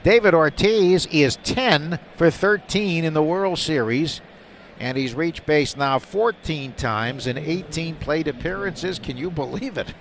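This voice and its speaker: A man calling baseball live on radio, describing each play in detail and getting excited on the big ones. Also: a little high, measured pace. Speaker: play-by-play announcer. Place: stadium broadcast booth. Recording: faint stadium noise behind a broadcast.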